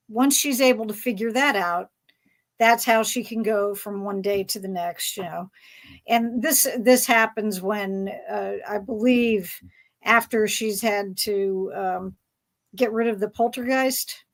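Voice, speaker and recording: A woman moderating a fan panel discussion, putting questions to the panelists in a halting, rambling, conversational way. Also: mid-range voice.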